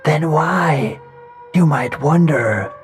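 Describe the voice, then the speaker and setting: A man narrating a horror story, voicing an uncanny being that only poses as a calm man, speaking softly and measured, often sinking to a whisper. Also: on the high side, faint music behind, voice pushed up. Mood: soothing menace. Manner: soft, measured, slips into hushed whispers. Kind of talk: horror audiobook reading.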